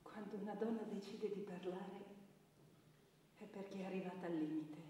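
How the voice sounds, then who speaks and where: An actress playing an earnest women's shelter counsellor, delivering a theatrical testimony in a measured, deliberate way with weighty pauses. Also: female voice, mid-range voice, mellow, slight background noise, lightly acted. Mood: grave, reflective. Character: earnest female anti-violence centre counsellor giving testimony. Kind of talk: dramatic theatrical monologue. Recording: echoey hall with long reverb, distant mic.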